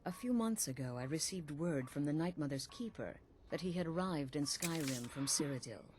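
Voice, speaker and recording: A woman voicing a cool-headed assassin leader, briefing a recruit in a calm, dry, matter-of-fact way. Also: deep voice, normal speed, slight background noise. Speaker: assassin guild leader giving orders. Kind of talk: video game character dialogue.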